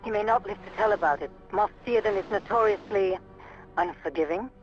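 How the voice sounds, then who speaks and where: A man's voice: high-pitched, brisk, lightly acted. A man playing an earnest, defiant young defector pilot in a video game cutscene, speaking in plain military terms. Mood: wry warning. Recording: muffled lo-fi game audio, music behind.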